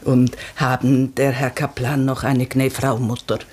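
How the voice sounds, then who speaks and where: A woman's voice: a bass voice, little pitch movement, measured pace, darkish tone. An actress playing a humble, deferential older single woman in a German radio play, speaking in simple, servant-like phrases. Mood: shy, deferential. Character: humble, deferential old spinster on a pilgrimage tour.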